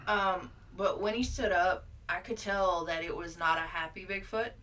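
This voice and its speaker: A woman's voice: lowish voice, fairly even intonation, normal speed, pushed and bright. A woman casually telling her story as an eyewitness in an improvised comedy interview, insistent and matter-of-fact. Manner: insistent, matter-of-fact about absurd claims. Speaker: mock eyewitness being interviewed.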